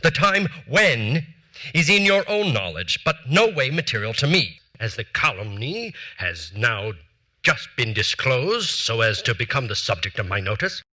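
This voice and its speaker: An actor in his forties reading letters as a proud, aggrieved statesman, in formal, measured, stiffly offended speech on a theater stage. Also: mid-range voice, big pitch swings, pushed and bright, full character voice. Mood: indignant. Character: proud aggrieved male statesman demanding satisfaction.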